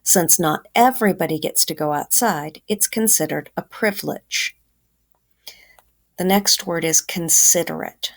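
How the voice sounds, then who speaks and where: A woman's voice: fairly low pitch, measured pace, creaky. A woman teacher giving children a reading lesson, explaining simply and instructively.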